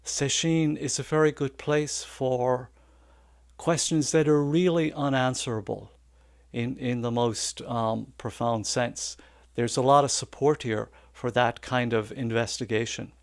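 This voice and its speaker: An older man giving a reflective Dharma talk as a Zen teacher, halting and contemplative with thoughtful pauses. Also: medium pitch, no room sound, clean studio sound. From Ireland. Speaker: Zen senior assistant teacher.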